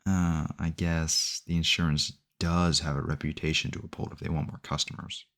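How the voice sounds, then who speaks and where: A man's voice: very deep voice, flat delivery, moderate pace, fairly bright tone. A man playing a sweetly possessive, obsessive boyfriend in an ASMR audio roleplay, rambling earnestly and intimately to the listener. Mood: patiently explaining. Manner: doting, rambling, earnest self-justifying, close and conversational.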